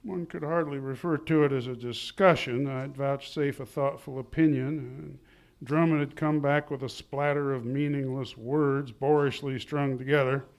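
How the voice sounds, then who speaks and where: A man's voice: medium pitch, fairly even intonation, moderate pace, lightly acted, low-quality recording. A man playing a pompous, haughty small-town husband and father, wordy and condescending, in 1940s-style radio comedy. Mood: haughty, scornful. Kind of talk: 1940s radio comedy acting, live on stage. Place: live theatre stage.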